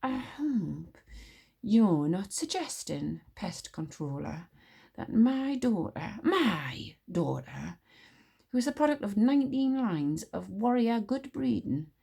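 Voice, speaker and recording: A woman's voice: mid-range voice, gravelly, mellow, slightly rough, exaggerated voice. A woman voicing a stern, proud warrior queen who speaks in an icy, grim, clipped way. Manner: cold, clipped, commanding.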